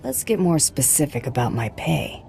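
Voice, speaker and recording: An actress playing a tough, mercenary bounty hunter in a video game, blunt and cool. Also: female voice, low voice, sing-song, moderate pace, vocal fry, mellow, soft background music, heavily acted. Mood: cool, curt.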